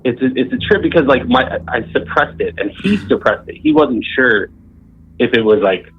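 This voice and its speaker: A man's voice: mid-range voice, expressive, moderate pace, slight background noise. A man in his thirties from Southern California, calling in to tell a story in a laid-back, rambling way. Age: 30s. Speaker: caller. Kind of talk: casual phone-in storytelling. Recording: muffled phone line with faint music.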